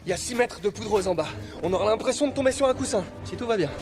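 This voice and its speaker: A man voicing a gruff, wry young mountain man in an animated film, blunt and given to exclaiming. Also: high-pitched, very fast. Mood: urgent. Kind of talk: animated film dub acting. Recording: loud film music behind the voice.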